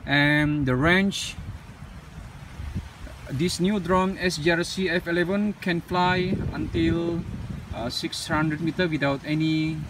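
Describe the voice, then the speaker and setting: Unscripted outdoor unboxing: man with a slightly higher voice, a bit far from the mic, with traffic noise behind. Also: steady tone. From Malaysia. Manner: unscripted, halting, with frequent "uh" and non-standard English grammar. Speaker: drone reviewer. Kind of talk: casual unboxing narration. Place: outdoors on pavement.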